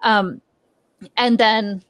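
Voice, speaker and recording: A woman's voice: on the high side, steady tone, drawn-out words, fairly bright tone, no echo. A woman in her forties from Lithuania, a research scientist answering interview questions in a thoughtful, hesitant way with many fillers.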